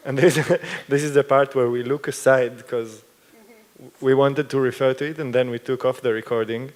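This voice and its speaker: A man's voice: mid-range voice, steady tone, normal speed, darkish tone. Man explaining a scene in a reverberant hall. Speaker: performer in post-show talk. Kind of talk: post-performance discussion.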